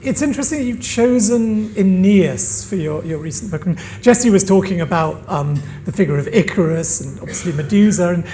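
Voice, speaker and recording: A woman's voice: on the low side, expressive, moderate pace, hardly any pauses, dark tone, slight background noise. A woman chairing a live panel discussion, prompting the speakers with short questions. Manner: brief interjections, prompting questions. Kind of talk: moderating a panel.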